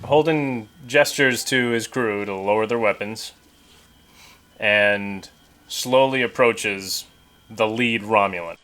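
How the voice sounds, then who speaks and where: A man's voice: on the low side, slow, a bit bright. A man talking casually in character and out as a player in a tabletop game.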